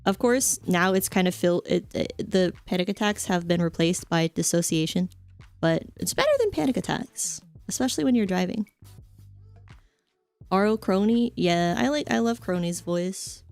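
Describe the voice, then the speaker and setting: A woman's voice: mid-range voice, a little mellow, dry recording. A young adult woman streamer chatting casually, rambling with lots of fillers and offhand jokes. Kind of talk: casual chat stream, reading chat.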